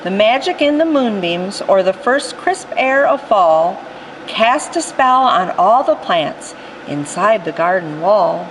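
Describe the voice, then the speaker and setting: A woman voicing a gentle old grandfather telling a folksy tale. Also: medium pitch, expressive, slow pace, slight background noise, poor audio.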